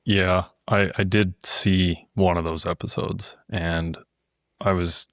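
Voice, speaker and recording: A man with a very deep voice talks as a guest on a podcast, easygoing and measured. He is on a close mic with a dry sound and no room echo. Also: little pitch movement, a bit bright. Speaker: podcast guest, business co-founder. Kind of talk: casual conversation.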